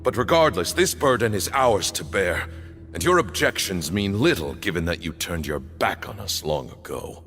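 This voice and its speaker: A man playing a stern, bitter temple leader in a video game, speaking in a formal, grave, measured way. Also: sits low, sing-song, a bit bright, heavily acted. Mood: commanding, resolute. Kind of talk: dramatic video game voice acting. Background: background music.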